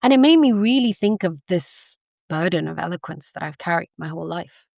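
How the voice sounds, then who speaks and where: A woman from England talks as an academic interview guest, earnest and impassioned, leaning on "you know" as she goes. Her voice sits low with a gravelly fry at the ends of phrases. The sound is close and dry. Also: expressive, brisk, darkish tone, no room sound. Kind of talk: conversational interview.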